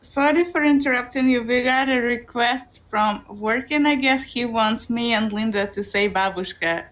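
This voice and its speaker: A woman with a Latvian accent, explaining things in a measured way with some fillers during a casual online discussion. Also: on the high side, fairly bright tone. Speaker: podcast panelist.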